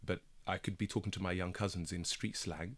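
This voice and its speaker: A deep-voiced man in his thirties talks in a radio studio. Swahili was his first language, and he makes his points earnestly, leaning hard on key words and sometimes repeating them for stress. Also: fairly even intonation, brisk, a bit bright. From Kenya. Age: 30s. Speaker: barrister and broadcaster guest.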